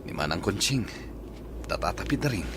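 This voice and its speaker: A man's voice: deep voice, animated, moderate pace, background noise. An actor with an Ilocano Filipino accent playing a headstrong grown son who longs for his homeland, in a radio drama. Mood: eager, nostalgic.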